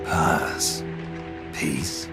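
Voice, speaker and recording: A man playing a gruff, stoic mercenary commander in a game cutscene, gravelly, terse and blunt. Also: drawn-out words. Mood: stern, challenging. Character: gruff, stoic, distrustful middle-aged male mercenary commander. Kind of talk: dramatic game cutscene acting. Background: loud dramatic music.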